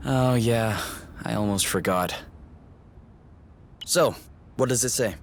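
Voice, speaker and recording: A man playing an easygoing young man, a gentle partner in casual video game dialogue. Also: fairly low pitch, takes it slowly, a little hiss or hum. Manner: easygoing, conversational. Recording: prominent music behind the voice.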